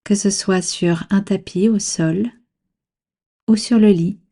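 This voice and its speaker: A woman guiding a relaxation practice as a meditation instructor, speaking calmly and soothingly in measured instructions with long pauses. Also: medium pitch. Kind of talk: guided yoga nidra relaxation.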